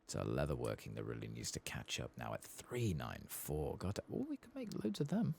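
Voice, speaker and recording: A man giving soft-spoken ASMR commentary over a game playthrough in an unhurried murmur. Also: a bass voice, wildly swooping pitch, vocal fry, slight background noise. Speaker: ASMR gaming streamer.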